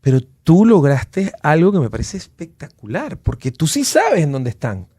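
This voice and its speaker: A man's voice: a little high, huge pitch swings, moderate pace, dark tone, slightly rough, dry recording, studio quality. A man in his forties hosting a casual podcast interview, talking in an effusive, enthusiastic way. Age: 40s. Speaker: podcast host.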